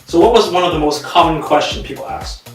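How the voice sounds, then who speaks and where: A man's voice: a little high, lively intonation, brisk, soft background music. A man hosting an interview, asking questions with frequent hesitant fillers. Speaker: host. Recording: echoey room from far off.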